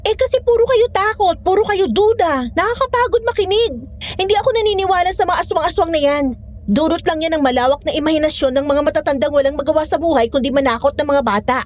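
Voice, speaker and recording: A very high-voiced young Filipino woman, played by an actress, in a horror audio drama. She is skeptical and irritable, quick to argue and brush off everyone else's worries. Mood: irritated, dismissive. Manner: dismissive, argumentative. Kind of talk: Tagalog audio drama acting.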